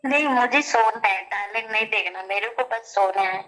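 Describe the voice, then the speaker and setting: A woman's voice: a little high, moderate pace, a bit bright. A woman from Delhi talking on a phone call, insistent and repetitive, answering in short plain sentences. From Delhi, India. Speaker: caller seeking film work. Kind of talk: phone conversation.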